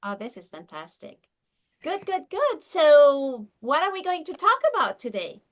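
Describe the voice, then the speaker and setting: A woman's voice: high voice, very animated, measured pace, highs cut off. A woman hosting a skincare training webinar over a video call, introducing her guest with enthusiasm. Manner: enthusiastic, encouraging.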